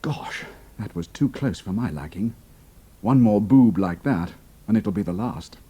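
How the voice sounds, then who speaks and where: A young British airman, acted out by a male narrator, composed and formally respectful, with a husky edge and a widely moving, animated pitch. He speaks in clipped, earnest military phrases, as in an adventure audiobook. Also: a little slow. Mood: wry, muttering. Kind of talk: dramatised audiobook character dialogue.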